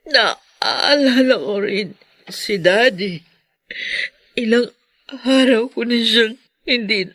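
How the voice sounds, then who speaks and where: An actress playing a remorseful, suffering young woman in a Filipino radio drama, pleading in a plaintive, halting way. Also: fairly high pitch, fairly bright tone, no room sound, exaggerated voice.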